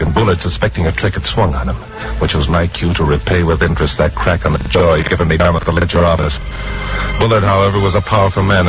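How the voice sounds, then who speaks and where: A man's voice: deep voice, natural voice, low-quality recording. An actor playing a tough, wisecracking undercover newsman in a mid-century radio crime drama, clipped and wry. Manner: hard-boiled, clipped, wry. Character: tough wisecracking male newsman working undercover, narrating his case. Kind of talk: 1940s-50s radio crime drama acting. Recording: old radio recording with music behind.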